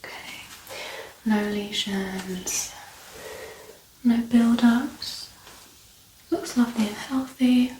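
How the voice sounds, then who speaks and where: A woman speaking softly and breathily, often whispering, calm and reassuring as she plays a doctor in an ASMR medical exam. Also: a little high, drawn-out words, long pauses. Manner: calm, reassuring, gently instructing. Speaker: ASMR performer playing a doctor. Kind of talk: soft-spoken ASMR medical exam roleplay. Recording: roomy echo, distant mic, background noise.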